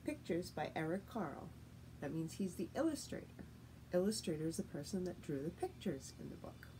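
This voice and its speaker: A middle-aged woman, a teacher reading a picture book aloud to young children in a deliberate, sing-song, expressive way, recorded at home. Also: lowish voice. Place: living room at home, indoors. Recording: faint steady noise, home room recording.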